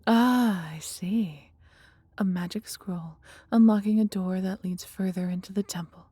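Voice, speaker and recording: A woman voicing a huge, proud, protective female dragon in a fantasy audio roleplay, with breathy, husky delivery and tender, formal, theatrical phrasing. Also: medium pitch, unhurried, mellow, no room sound. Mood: wistful.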